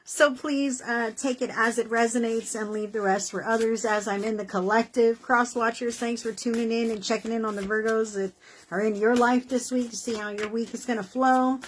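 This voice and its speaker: Hoarse-voiced woman giving a tarot reading. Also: a little high. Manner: chatty, encouraging, many fillers like "you know" and "okay". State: losing her voice. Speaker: tarot reader. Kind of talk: casual tarot horoscope reading.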